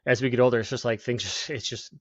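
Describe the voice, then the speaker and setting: A man in his forties in a casual podcast chat who talks haltingly and fills gaps with "like". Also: mid-range voice, steady tone, dry recording. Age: 40s. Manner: halting, full of "like" fillers. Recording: dull lo-fi dry sound.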